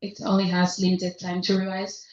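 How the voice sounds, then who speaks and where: A young woman from Spain, a student presenting at a conference who pauses and corrects herself. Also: lowish voice, completely flat delivery, darkish tone. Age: young adult. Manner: halting, stops to correct herself. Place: conference hall. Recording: echoey hall, distant muffled mic.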